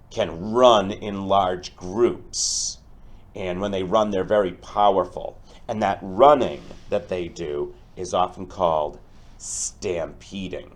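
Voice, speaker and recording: A man teaching through a storybook read-aloud, speaking deliberately and explaining things directly to his pupils. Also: low voice.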